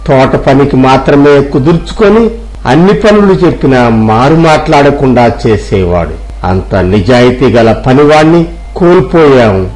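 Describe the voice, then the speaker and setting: A village elder played by a man speaks at a medium pitch. He is measured and authoritative, a dutiful master to his workers, in the style of an acted moral tale. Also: lively intonation, a little mellow, lightly acted, poor audio. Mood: regretful. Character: dutiful, kindly male village elder and employer. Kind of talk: acted Telugu moral story.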